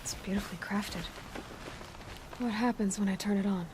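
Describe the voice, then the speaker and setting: Young female hunter played by an actress: curious, determined, mid-pitched, clear ambient noise behind. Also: steady tone, moderate pace, close to an everyday voice. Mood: curious, pensive.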